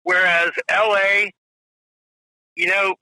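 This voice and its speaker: Bright-voiced drummer man in his forties. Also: high voice, little pitch movement, slow pace, no echo, rough recording. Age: 40s. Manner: rambling, fillers like "you know" and "if you will". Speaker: drummer guest. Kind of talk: casual interview storytelling.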